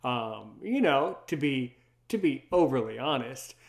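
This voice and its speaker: A man's voice: medium pitch, animated, a little slow, gravelly. A man of thirty hosting a casual interview, eager and often repeating his words for emphasis. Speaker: interview show host.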